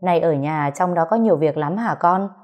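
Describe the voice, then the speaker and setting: A woman's voice: fairly low pitch, a little fast, muffled recording, close to an everyday voice. A woman voicing a friendly, cheerful older father who greets his guests in an audio story. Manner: welcoming, chatty.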